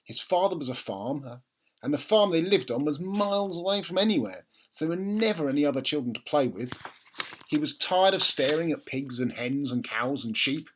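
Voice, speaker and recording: A man's voice: on the high side, expressive, normal speed, fairly bright tone, a little hiss or hum, poor audio. A man narrating a children's book expressively, as a storybook reader.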